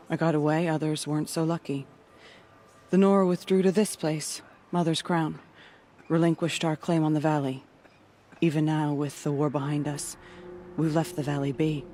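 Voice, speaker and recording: A woman's voice: deep voice, level tone, normal speed, mellow, close to an everyday voice. A woman voices a proud female war chief in a game cutscene. She is stern and weary but steadfast, with music playing behind her. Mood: somber. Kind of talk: video game cutscene acting.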